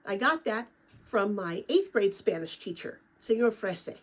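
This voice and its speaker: Older woman, retired teacher, very animated intonation, raspy edge, informal storybook read-aloud, chatty. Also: medium pitch, measured pace. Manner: chatty, animated, rambling with personal asides. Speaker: retired teacher reading a children's book aloud.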